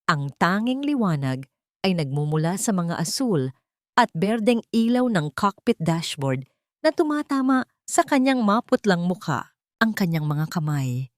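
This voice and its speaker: Low-voiced woman narrating dramatic fiction. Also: big pitch swings, unhurried, no echo, clean studio sound. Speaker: audiobook narrator. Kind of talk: dramatic scripted story narration.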